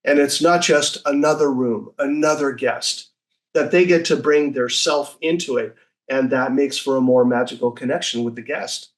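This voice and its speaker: A man's voice: medium pitch, normal speed, rough recording. A man speaking as an interview guest in an enthusiastic, conversational storytelling way, now and then acting out short quotes.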